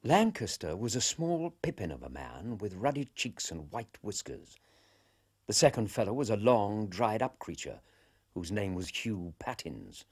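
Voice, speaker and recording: A man narrating a period drama in a measured, descriptive way. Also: on the low side, sing-song, a little mellow, husky. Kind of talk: scripted narration.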